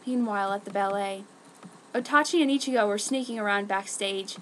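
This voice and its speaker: A woman's voice: mid-range voice, a little fast, a little hiss or hum. A woman reading fan fiction aloud in an exaggerated, mock-serious dramatic style. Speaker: amateur fan fiction reader.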